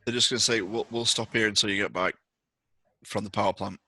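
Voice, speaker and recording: Man, game master, low-pitched, bright forceful voice, conversational narration. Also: fairly even intonation, fairly quick, breathy edge, no echo. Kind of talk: narrating a tabletop roleplaying game.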